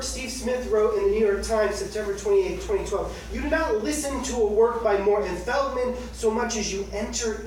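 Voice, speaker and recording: A man's voice: high voice, darkish tone. A man in his thirties giving a live literary reading of his own personal essay in a conversational, wry way. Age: 30s. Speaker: author reading from own memoir. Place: indoor book-launch reading room with audience. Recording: echoey room from far off, faint noise.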